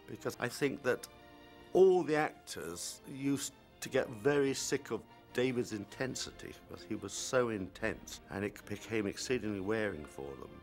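A man in an interview, recalling work on a film set in a measured, matter-of-fact way. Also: on the low side. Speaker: film crew member interviewed. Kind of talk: reminiscing interview.

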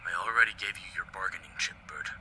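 A blunt, protective but impatient young male survivor acted by a man in a fan audio drama. His voice is fairly low and very tense and forceful, with firm, exasperated delivery. The recording has clear noise behind it. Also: fast talker, poor audio. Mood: terse scheming.

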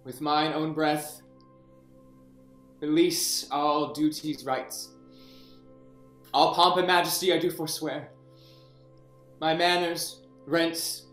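An actor playing a deposed young king, bitter and theatrical, in a play reading over a video call, swinging from hushed whispers to anguished shouts. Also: on the high side, reverberant. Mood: sorrowful. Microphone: webcam on a video call.

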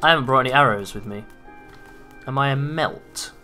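Excitable gamer, a bit raspy. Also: male voice, mid-range voice, normal speed, soft background music. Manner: excitable, swears freely, hypes viewers. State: slightly raspy from long talking. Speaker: gaming YouTuber. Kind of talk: casual gameplay commentary.

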